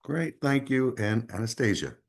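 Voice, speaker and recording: Lively-voiced man moderating a panel. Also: medium pitch, a little slow. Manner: brief, polite, calls on each speaker.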